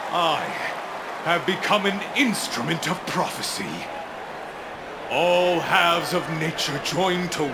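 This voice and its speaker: An actor playing a grandiose, bloodthirsty sun-cult priest in a video game, preaching in a pompous, declamatory way. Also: male voice, sits high, gravelly, very noisy, full character voice. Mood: exultant. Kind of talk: theatrical game cutscene oratory. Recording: loud music behind. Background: loud music.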